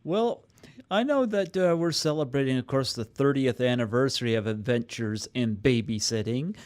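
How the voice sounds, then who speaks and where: A man's voice: mid-range voice, normal speed, darkish tone. A man hosting a podcast interview who laughs along and asks questions. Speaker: podcast host. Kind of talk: casual interview.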